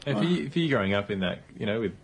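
A man's voice: on the low side, lively intonation, very fast, gravelly, slightly rough. A man hosting a radio interview, asking long, rambling questions padded with "you know". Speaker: radio host.

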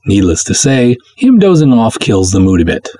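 A man's voice: lowish voice, very animated, moderate pace, dark tone. A man reading a first-person serial story as a chatty, wry woman confiding in a friend.

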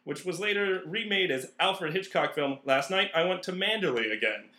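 A man acts as a mock-serious narrator for a comic radio play. He reads scripted links in a bright voice with noticeable room echo. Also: sits high, lively intonation, normal speed.

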